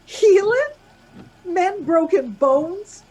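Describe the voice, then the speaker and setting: A woman's voice: very high-pitched, lively intonation, very slow, mellow, exaggerated voice, low-quality recording. A woman playing a frightened, pleading wife in a radio play about travellers stranded on a snowy pass. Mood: anxious, pleading. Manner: pleading, urgent.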